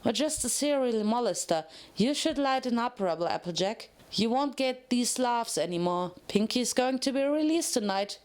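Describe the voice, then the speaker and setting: A woman's voice: a little high, lively intonation, normal speed, few pauses, close to an everyday voice. A woman voicing a teasing, mocking female pony inmate gossiping in a story reading. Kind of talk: dramatic fan fiction reading.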